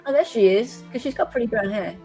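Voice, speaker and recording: A woman hosting casual commentary in an animated, blunt way. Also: a little high, fast, soft background music, low-quality recording. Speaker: host.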